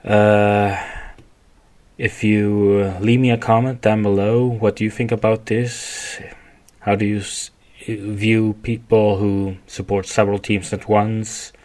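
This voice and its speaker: A Swedish man hosts a solo podcast in accented English. His voice sits on the low side. He speaks very slowly, feeling his way through an argument with repeats and rephrasing. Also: flat delivery, a little mellow. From Sweden. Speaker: podcast host. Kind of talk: rambling solo podcast monologue.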